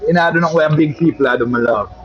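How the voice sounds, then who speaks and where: A man's voice: on the high side, very fast, muffled recording. A Jamaican man with a boastful, flamboyant manner, telling a story in casual patois chat and calling listeners "my love". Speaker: social media personality.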